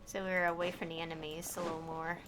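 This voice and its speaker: A woman streamer chatting casually through a game, easily delighted and quick to laugh. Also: on the low side, little pitch movement, over loud music. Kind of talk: casual gameplay commentary.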